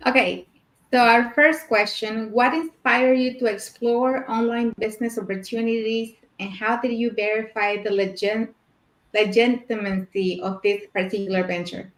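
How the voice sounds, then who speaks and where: A woman's voice: on the high side, steady tone, normal speed. Woman from Mexico, roomy echo. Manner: reads questions and lists. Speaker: co-host. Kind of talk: casual talk show chat.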